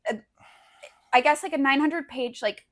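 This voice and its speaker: A woman's voice: high-pitched, moderate pace, fairly bright tone. A young woman co-hosting a podcast, chatting casually in a rambling, slangy way peppered with "like". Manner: slangy, rambling, hedging with frequent "like". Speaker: podcast co-host. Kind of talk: casual conversational podcast chat.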